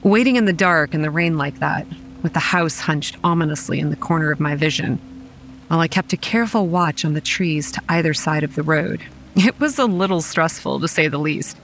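An actress playing a young campground manager who narrates a horror audio drama in a wry, sardonic, foul-mouthed way. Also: female voice, sits low, fairly quick, a little hiss or hum, poor audio. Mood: tense, hushed. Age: young adult. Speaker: narrator and lead character. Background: rain and music.

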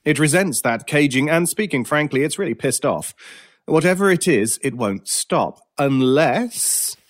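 An actor playing a cool, teasing, mysterious British stranger in a horror radio drama, with a measured, theatrical and wry way of talking. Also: male voice, fairly high pitch, animated. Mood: ominous, persuasive. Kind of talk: old time radio style horror audio drama.

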